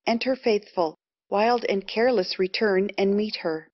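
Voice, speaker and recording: A woman's voice: mid-range voice, unhurried, no echo. Dry, muffled woman narrator. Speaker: narrator reading stage directions. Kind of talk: scripted narration.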